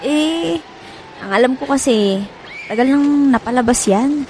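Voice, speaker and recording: A woman's voice: sits high, animated, a little slow, dark tone, slight background noise. An actress playing a caring, inquisitive wife and mother in her thirties in naturalistic radio drama dialogue. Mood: curious. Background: music bed.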